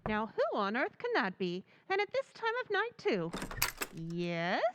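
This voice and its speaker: A woman's voice: a little high, huge pitch swings, a little slow, dull audio, background noise. A woman playing a hesitant, easily startled poor elderly housewife in an old-time radio drama. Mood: flustered.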